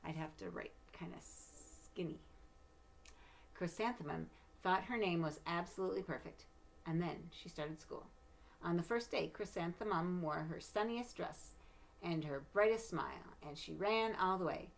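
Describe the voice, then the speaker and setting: Woman reading a kids picture book out loud, lowish voice, chats to listeners in little asides. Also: unhurried, gravelly, rough recording. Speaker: storybook reader. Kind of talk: read-aloud children's story with casual asides to listeners.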